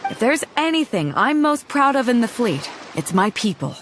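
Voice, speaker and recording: A woman's voice: on the high side, very animated, normal speed, background noise. An actress voicing a bold, confident female pirate captain in a game cutscene, hearty and commanding with a teasing edge. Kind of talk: video game cutscene dialogue.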